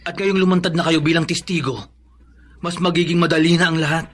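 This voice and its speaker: A man's voice: fairly high pitch, steady tone, fairly quick, over music, natural voice. A man playing an earnest, protective young man in a Filipino radio drama, polite and urgent.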